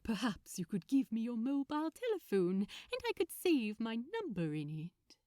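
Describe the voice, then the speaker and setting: A woman voicing a gentle, polite male angel in a read-aloud story, with a soft, prim and courteous manner. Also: fairly high pitch, very animated, moderate pace, no room sound, studio quality.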